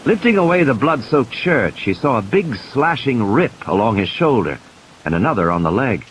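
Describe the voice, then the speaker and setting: A man's voice: mid-range voice, very animated, normal speed. A man narrating an audiobook play in a dramatic, scripted storytelling style. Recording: hissy, noisy background.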